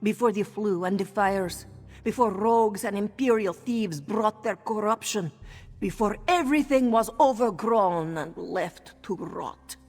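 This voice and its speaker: A woman's voice: medium pitch, measured pace, darkish tone. AI woman voice doing a proud cat-woman local who speaks in third person, with a reverb tail and game music behind. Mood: earnest. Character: proud elderly female cat-folk townswoman.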